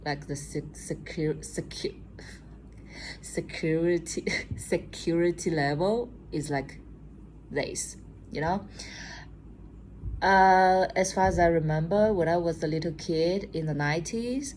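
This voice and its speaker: A woman's voice: fairly low pitch, takes it slowly, pauses often, darkish tone, a little hiss or hum, low-quality recording. A young Chinese woman with a Chinese accent, chatting casually to camera as a vlogger and often tagging on "okay?". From China. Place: room at home at night.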